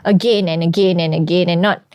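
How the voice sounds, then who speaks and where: A woman's voice: on the low side, fairly even intonation, a little fast, darkish tone, smooth, no echo, clean studio sound. A young woman, speaking in a matter-of-fact way during a casual podcast discussion. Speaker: podcast co-host.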